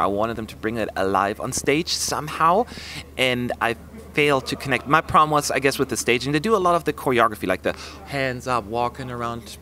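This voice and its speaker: Bright-voiced male host over background noise. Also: mid-range voice. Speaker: host of a reaction channel. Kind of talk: casual on-camera discussion. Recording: clearly noisy background ambience.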